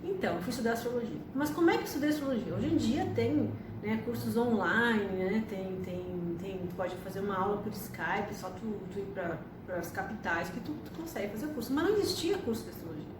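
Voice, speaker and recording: A woman's voice: mid-range voice, lively intonation, brisk, few pauses. Brief: woman, 50s, chatty storyteller, distant mic, noisy echoey room. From Argentina. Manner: chatty, frequent tag questions. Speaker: astrologer and psychotherapist. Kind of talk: personal storytelling to camera.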